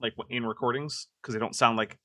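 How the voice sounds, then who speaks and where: A man's voice: medium pitch, steady tone, fairly quick, bright, forceful tone, dry recording, clean studio sound. A man hosting a live stream, reacting to a video in casual, exasperated commentary full of "like" fillers. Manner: chatty and exasperated, many "like" fillers.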